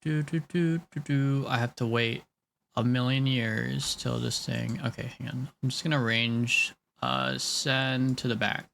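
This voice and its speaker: Guy in his late 20s rambling to his stream chat, bit of gravel in his voice, mid-pitched. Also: fairly even intonation, a little slow, a bit bright, a little hiss or hum. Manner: rambling, chatty, laughs often, talks to chat. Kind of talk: casual live-stream chat while drawing.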